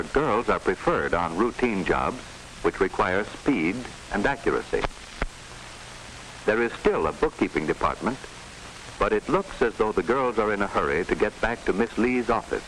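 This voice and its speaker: Low-voiced American narrator, hissy 1940s film. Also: male voice, very animated, normal speed, a bit bright, poor audio.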